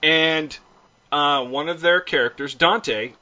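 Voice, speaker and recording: A man's voice: fairly high pitch, takes it slowly, sharp tone, muffled recording. A man hosting a podcast, chatting and interviewing guests in a playful, joking, self-deprecating way. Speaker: podcast host. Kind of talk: casual interview chat.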